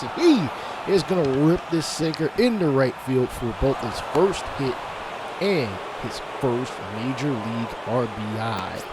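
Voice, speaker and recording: A man's voice: medium pitch, noisy background. A man with a cold-stuffed nasal voice, casually commentating a baseball video game from a home studio mic. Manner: laid-back, chatty play-by-play with asides. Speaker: gaming channel host. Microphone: studio mic on a boom arm. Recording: game music underneath.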